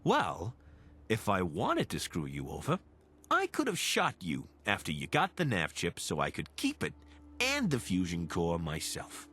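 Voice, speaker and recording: An actor playing an affable, curious wasteland scavenger in a video game, casual, wry and eager to team up. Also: male voice, sits low, wildly swooping pitch, a little slow, sharp tone, breathy edge, exaggerated voice. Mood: persuasive. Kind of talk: video game companion dialogue.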